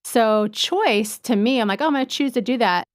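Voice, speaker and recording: A woman in her fifties co-hosting a podcast, talking things through thoughtfully and often pausing to search for words. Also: on the high side, no room sound, studio quality. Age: 50s. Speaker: podcast co-host. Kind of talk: reflective casual chat.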